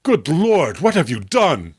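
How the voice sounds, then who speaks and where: Indignant horror-comic hero, male reader acting: appalled, formal, melodramatic, highish voice, big intonation. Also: wildly swooping pitch, slow, husky, dry recording, studio quality, heavily acted. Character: horrified, indignant man confronting his deranged friend.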